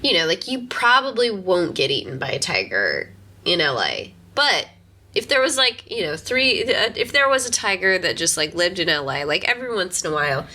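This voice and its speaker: Woman mid 30s podcast host, kinda low bright voice, rambly thinking out loud. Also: very animated. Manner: halting, filler-heavy musing with frequent "like". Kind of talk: casual conversation.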